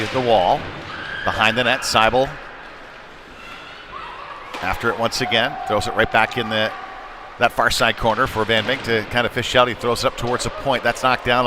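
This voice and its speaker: A man calling live youth ice hockey play-by-play in a folksy, colloquial way. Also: lowish voice. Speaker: play-by-play commentator. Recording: clear background rink noise.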